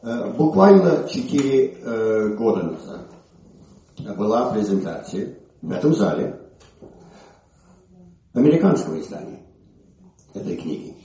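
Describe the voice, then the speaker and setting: Older guy speaking russian, lots of ums and restarts, a bit hoarse from a cold, far off in a big echoey hall. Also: on the low side, big pitch swings, mellow. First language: English. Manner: halting, many hesitations and restarts. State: recovering from a cold. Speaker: author presenting his book. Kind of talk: informal presentation speech. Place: museum hall.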